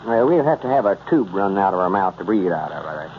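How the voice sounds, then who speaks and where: A man's voice: low voice, very animated, a little fast, slight background noise. A man playing a boastful, scheming rural storekeeper with a self-important country drawl, in a 1930s radio comedy. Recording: muffled old radio transcription.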